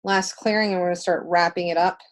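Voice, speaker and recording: Woman with low-set voice, native Spanish speaker. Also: fairly even intonation, fast talker. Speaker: co-host of a spiritual healing livestream. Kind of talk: casual livestream talk, giving spiritual readings.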